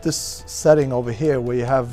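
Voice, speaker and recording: A man's voice: medium pitch, fairly even intonation, moderate pace, mellow. A man giving a personal testimonial for a community video. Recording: music playing behind the voice.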